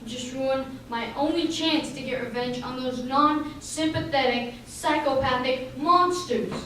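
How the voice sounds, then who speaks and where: A woman's voice: sits high, unhurried, a bit bright. A woman acting as a bitter, vengeful male murderer in an amateur radio drama. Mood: bitter, menacing. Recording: very echoey hall, distant mic, faint noise.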